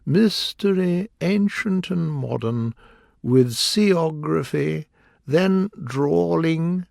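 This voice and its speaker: A gloomy storybook sea creature that sighs through every word, as a man puts him on. The voice sits high and is dragged out at a slow, mournful crawl, with gravel in it. Close, dry sound. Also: darkish tone, no room sound, full character voice. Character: melancholy sighing sea creature, male, old. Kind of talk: audiobook reading.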